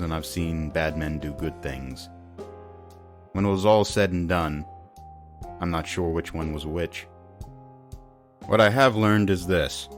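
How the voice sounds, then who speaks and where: A hardboiled detective haunted by war, with a very low voice. He speaks gravely, in the brooding way of a noir radio play, over a music bed. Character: brooding war-veteran police detective, male noir narrator. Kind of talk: noir audio drama narration and dialogue.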